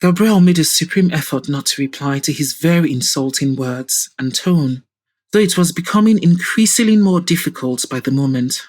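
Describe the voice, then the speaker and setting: A woman's voice: deep voice, normal speed, a little mellow. A woman reading scripted story narration as the narrator of an audio drama.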